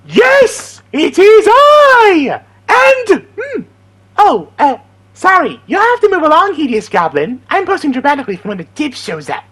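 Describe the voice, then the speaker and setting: A small alien cartoon invader, bombastic and frantic. The voice is shrill and very high, swooping wildly through grand, theatrical declarations. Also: male voice, huge pitch swings, measured pace, a bit bright, exaggerated voice. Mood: grandiose, frantic. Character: manic, pompous, shrieking little alien invader villain.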